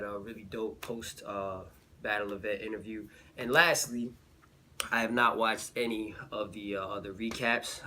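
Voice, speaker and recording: A slightly sick man recapping a battle rap event in a relaxed vlog, with lots of fillers and slang. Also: on the low side, slight background noise. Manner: laid-back, filler-heavy slang. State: a little sick.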